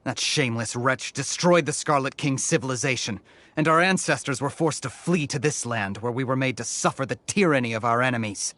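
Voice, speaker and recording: A man's voice: medium pitch, a little fast, fairly bright tone, no room sound, lightly acted. A man voicing a zealous, scornful desert mercenary in an anime game, sneering and preachy, with music behind. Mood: devout, bitter. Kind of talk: English anime game voice acting.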